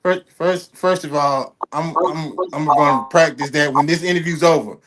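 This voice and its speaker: Male host, slightly high voice, eager and rambling. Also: moderate pace, a bit bright, slight background noise. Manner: enthusiastic, rambling, heavy fillers and repeats. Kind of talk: casual interview.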